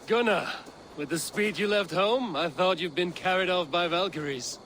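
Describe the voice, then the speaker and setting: A man playing a dry-witted, steady Viking chieftain in video game dialogue. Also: high-pitched, normal speed, a little hiss or hum. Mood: wry, friendly.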